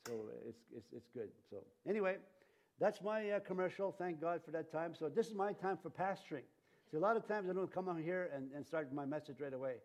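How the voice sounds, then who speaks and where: Rambling pastor with a mellow voice. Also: male voice, on the high side, expressive, brisk. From Canada. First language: Cree and Ojibwe. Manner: rambling with many fillers and restarts. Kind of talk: sermon. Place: church.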